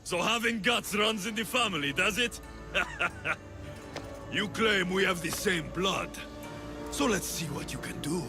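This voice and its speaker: A man's voice: sits high, measured pace, long pauses. An actor playing an arrogant young male demigod who enforces a cult's will, sneering and threatening in a video game cutscene. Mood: mocking. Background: music.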